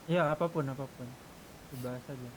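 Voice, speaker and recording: A man's voice: medium pitch, fairly quick, rough recording. A man leading a casual group discussion in an online game, talking informally. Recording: hissy gamer voice chat.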